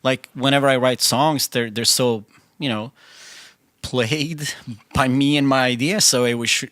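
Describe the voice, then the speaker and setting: A man's voice: mid-range voice, fairly even intonation, a little slow, fairly bright tone, no echo. A man in his forties whose first language is Swedish, talking in a casual studio interview as a band singer, with many restarts and quoted asides. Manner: chatty, restarts often, quotes himself.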